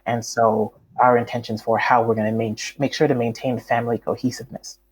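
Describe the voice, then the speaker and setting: A woman's voice: extremely low pitch, flat delivery, brisk, a little mellow, slight background noise, rough recording. A woman from Canada, a writer talking as an interview guest, thoughtful and full of fillers and restarts. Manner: reflective, many fillers and restarts. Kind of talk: conversational interview.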